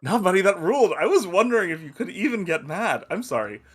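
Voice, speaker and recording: A man's voice: sits high, fast, breathy edge, full character voice. A man playing a crude, teasing space probe who loves football and shows off as he explains, in a table-read audio drama. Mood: casual, amused. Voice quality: animated, swooping delivery. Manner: foul-mouthed, teasing, showy explainer.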